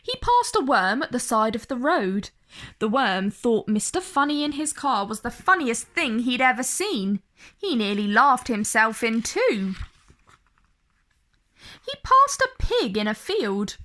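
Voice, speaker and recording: A woman's voice: on the high side, sing-song, unhurried, a bit bright. A woman reading a picture book aloud for children as a storyteller, speaking directly to young listeners with dramatic emphasis. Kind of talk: expressive picture-book read-aloud for kids.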